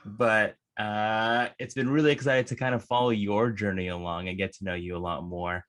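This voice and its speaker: A man hosting a podcast interview, upbeat at the intro and then halting, with many fillers. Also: on the low side, fairly even intonation, a bit bright. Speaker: podcast host.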